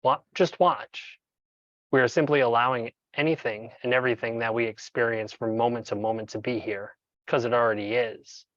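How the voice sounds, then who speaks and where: Male coach for guided meditation narration. Medium pitch, measured and instructional, pausing deliberately. Dry, slightly dull recording. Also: steady tone, fairly bright tone, no echo.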